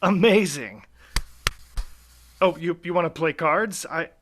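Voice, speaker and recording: A man's voice: a little high, takes it slowly, fairly bright tone. A man hosting a live audio play, narrating backstage in a playful, effusive, mock-suspenseful theatrical manner. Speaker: host, director and adapter of a live audio play.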